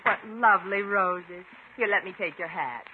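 A woman's voice: on the low side, normal speed, lightly acted. An actress playing a gracious, coy single woman being courted in a 1950s radio sitcom, polite and playfully demure. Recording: tinny, noisy 1950s radio recording.

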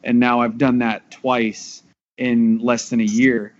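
A man's voice: medium pitch, level tone, slow, dull audio. A man from Texas with an American accent, a voice actor talking candidly and loosely in a casual panel discussion, often repeating words. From Texas, USA. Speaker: voice actor discussing an audio drama.

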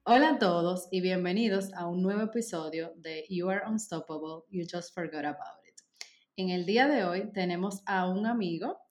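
A woman's voice: lowish voice, darkish tone. A woman, hosting a podcast in a friendly, chatty way and laughing often. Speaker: podcast host.

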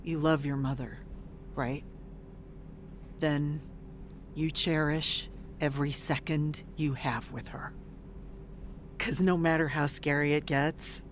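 A woman's voice: sits low, a little hiss or hum. An actress playing a blunt, weary middle-aged woman fresh out of prison in an audio drama, swearing in exasperation. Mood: earnest.

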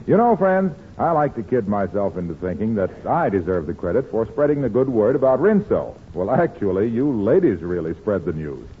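Casting: male 1940s radio announcer, lowish, lively, folksy sponsor-pitch style, narrow tinny sound. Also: normal speed, few pauses, a little hiss or hum. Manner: folksy, addresses listeners directly.

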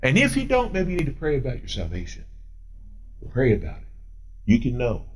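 A man in his forties, a pastor preaching a sermon, animated and answering imagined questions, moving up and down as he talks. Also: a little fast, slightly rough, poor audio. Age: 40s. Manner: animated, swooping pitch, paces while preaching.

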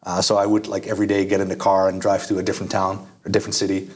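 A man's voice: deep voice, completely flat delivery, racing, breathy edge. A young Dutch man answering interview questions in a casual, hesitant way, with many fillers and restarts. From Netherlands. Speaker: guest.

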